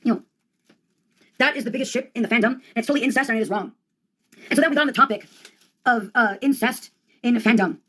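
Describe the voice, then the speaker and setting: Higher-voiced young woman, deadpan comic. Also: fast, pauses often. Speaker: comedian. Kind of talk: rambling comedic monologue.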